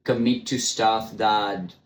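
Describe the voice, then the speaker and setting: A man's voice: on the low side, steady tone, slow, a little hiss or hum. A man hosting his own podcast, speaking English as a second language, talking frankly with stutters, restarts and many ums, now and then swearing. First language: not English. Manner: frank, blunt, swears casually. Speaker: podcast host. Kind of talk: candid solo podcast monologue. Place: room, recording on camera. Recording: echoey room, faint ambience.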